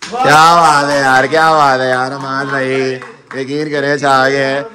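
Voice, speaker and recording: A man's voice: medium pitch, flat delivery, measured pace, bright, forceful tone, faint music behind. A young man chatting casually to viewers as a travel vlog host, speaking into a handheld phone camera. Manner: chatty, addresses viewers directly. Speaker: vlogger host. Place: busy night bazaar outdoors. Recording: crowd noise of a busy street market.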